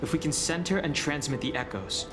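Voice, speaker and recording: An actor playing a calm, reserved young man in a game, speaking in a measured way. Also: fairly high pitch, natural voice. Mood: focused. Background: game music.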